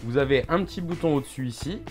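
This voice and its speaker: A man's voice: medium pitch, lively intonation, quick pace, a little mellow. Easygoing man with faint music behind. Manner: informal, colloquial, agreeable. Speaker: co-presenter, host's friend. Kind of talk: casual unscripted chat.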